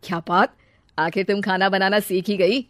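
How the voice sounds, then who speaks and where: A blunt, easily irritated young husband voiced by a woman narrator in cartoon-story style. The voice is mid-pitched for a woman and recorded very dry. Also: fairly even intonation, moderate pace, dry recording, lightly acted. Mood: delighted. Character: irritable young husband, grumbling about food. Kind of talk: cartoon family drama acting.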